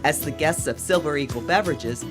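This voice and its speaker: Low-voiced woman reading a promo. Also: fairly quick. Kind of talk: scripted promo voice-over. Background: music.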